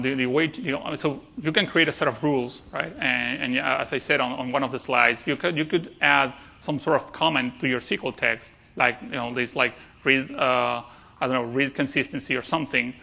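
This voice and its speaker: Man, technical presenter, hesitant delivery, fry in the voice, slightly dull recording. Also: medium pitch, pushed and bright. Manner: hesitant, halting delivery with repeated words. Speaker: conference speaker.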